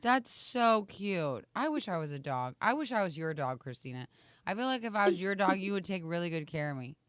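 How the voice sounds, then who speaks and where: A raspy-voiced woman with a Midwestern American accent, co-hosting a casual morning show over a video call and teasing her partner. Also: lowish voice, brisk, dry recording. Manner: teasing, chatty, animated with guests. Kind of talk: casual chat.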